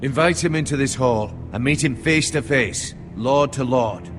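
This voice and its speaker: A man's voice: medium pitch, moderate pace, over music, natural voice. A man playing a pragmatic, cautious counsellor loyal to his lord in a video game drama, firm and formal. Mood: measured, loyal.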